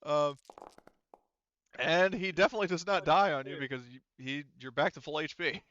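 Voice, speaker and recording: Guy game mastering, halting casual narration, bright crisp voice, dry slightly dull mic. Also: on the high side, dry recording. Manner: halting, frequent self-interruption.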